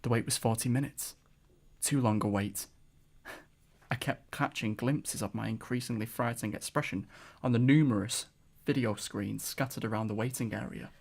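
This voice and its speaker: A man's voice: medium pitch, moderate pace, gravelly. A man acting in an audio drama as a nervous, wry character with a dry, anxious delivery. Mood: dry dread. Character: nervous, wry man dreading a fairground ride. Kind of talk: audio drama dialogue and first-person narration. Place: recording studio. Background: music.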